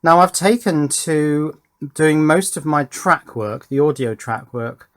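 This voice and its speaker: A man's voice: a little high, expressive, slow pace, a bit bright. A man presenting a hands-on electronics demonstration at his workbench, talking off the cuff in a dry, self-deprecating way.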